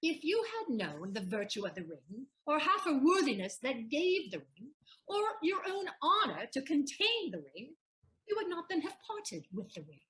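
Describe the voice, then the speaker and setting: A woman playing a proud, sharp-witted wife in a Shakespearean audio play, teasingly indignant in declamatory verse. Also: sits high, very animated, normal speed, low-quality recording. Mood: indignant, accusing.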